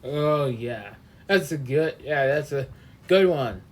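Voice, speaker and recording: A man hosting a casual comedy podcast, talking haltingly with many restarts and frequent laughs. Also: fairly high pitch, lively intonation. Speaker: podcast host.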